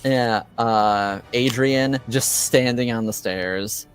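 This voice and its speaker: A man narrating a tabletop game as game master, improvising and pausing often mid-sentence. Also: medium pitch, faint music behind.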